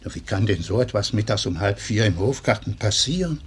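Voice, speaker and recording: An actor in a German radio drama playing a kindly, worried householder, anxious and solicitous, full of questions. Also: male voice, on the low side, lively intonation, brisk, a little hiss or hum, close to an everyday voice. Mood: puzzled, questioning. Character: concerned, kindly householder caring for a hurt youth.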